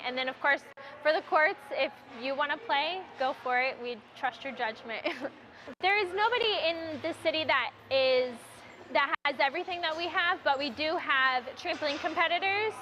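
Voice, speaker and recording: A woman's voice: high-pitched, bright, forceful tone, a little hiss or hum, low-quality recording. A woman on staff at a sports venue answering interview questions casually, with fillers and small laughs.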